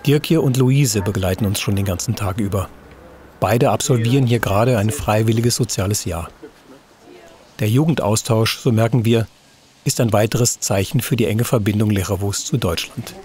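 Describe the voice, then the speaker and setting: A man's voice: on the low side, moderate pace, a little mellow. A man reading scripted travel documentary narration as the host.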